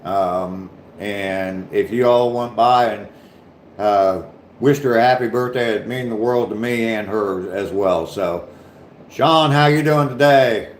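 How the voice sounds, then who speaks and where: A deep-voiced man with a light southern Ohio accent talks to his livestream viewers in a loose, easygoing way. He speaks slowly and lets his sentences trail off softly. The echo of the room and a faint hum sit behind him. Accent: southern Ohio, slight Appalachian touch. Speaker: livestream host. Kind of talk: casual livestream chat reading comments.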